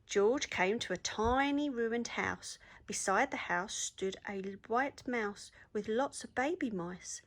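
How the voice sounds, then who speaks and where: A woman reading a children's story aloud in an expressive storytelling manner. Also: fairly high pitch, slow, few pauses.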